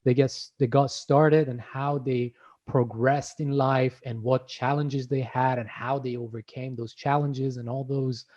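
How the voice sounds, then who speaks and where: A man hosting a conversational interview show, earnest and unhurried as he lays out his questions. The recording is somewhat dull and muffled, with the highs rolled off. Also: little pitch movement, darkish tone. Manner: earnest, with fillers and self-corrections. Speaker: host. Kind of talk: casual interview chat.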